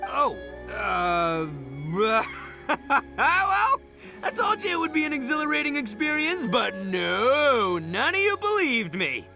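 A man playing a brash, boastful young oni gang boss in a video game, loud, swaggering and casual. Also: very high voice, big pitch swings, takes it slowly, gravelly, over music, exaggerated voice. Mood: flustered.

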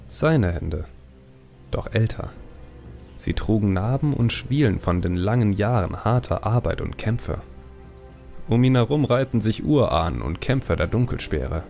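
Male audiobook narrator, very low voice, gravelly fry, dramatic fantasy storytelling, soft music underneath. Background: faint music.

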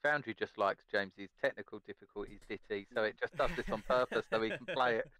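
A man's voice: fairly low pitch, fast, sharp tone. A man chatting casually and jokingly as a player in an online tabletop game.

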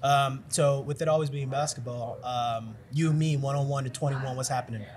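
A man's voice: mid-range voice, fairly even intonation, fairly quick, a little mellow. Playful young man hosting. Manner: playful, slangy banter. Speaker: host. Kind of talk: casual interview chat. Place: indoor studio set with plants. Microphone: desk mic on stand.

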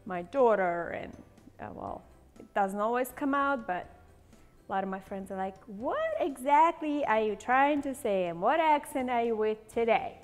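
Woman in her 40s, bright kitchen host, put-on Southern accent, voice a touch high, reverberant room with light music underneath. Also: big pitch swings, measured pace. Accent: playful put-on Southern US. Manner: chatty, bubbly, laughs often. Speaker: cooking show host. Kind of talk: cooking demonstration to camera. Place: large bright home kitchen.

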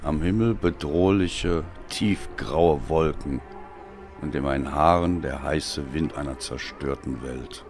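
A male audiobook narrator with an extremely deep voice delivers dark, dramatic prose. He is slow, deliberate and brooding, with theatrical weight on his words. Music plays behind him.

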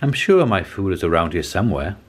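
A man voicing an eager, puzzled young male squirrel from a children's storybook, in an expressive reading manner. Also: low-pitched, brisk, breathy edge, full character voice.

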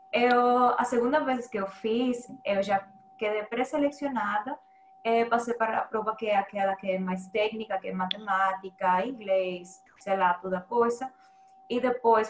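A woman's voice: fairly high pitch, a little hiss or hum. Venezuelan woman with a headset, roomy sound. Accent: Venezuelan Spanish. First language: Spanish. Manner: candid, apologetic about her Portuguese. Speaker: industry researcher. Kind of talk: panel talk on video call. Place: indoors, plain light wall. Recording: roomy video call audio.

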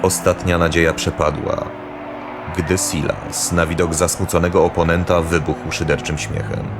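Deep-voiced male fantasy narrator over loud music. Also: flat delivery, moderate pace. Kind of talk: scripted fantasy audio drama narration.

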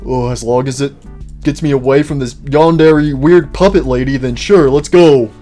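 A man's voice: medium pitch, normal speed, warm tone, faint music behind, close to an everyday voice. A man voicing a naive, defensive animatronic bear in a comedic cartoon roleplay, stammering and pleading. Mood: agreeable. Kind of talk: improvised comedic character roleplay.

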